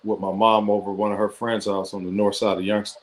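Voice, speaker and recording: Middle-aged Ohio-raised man, lowish voice, reflective storyteller, heard over a video call. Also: level tone, brisk. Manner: reflective, with frequent fillers and restarts. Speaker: guest football coach. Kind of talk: personal storytelling in an interview. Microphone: computer or headset microphone on a video call.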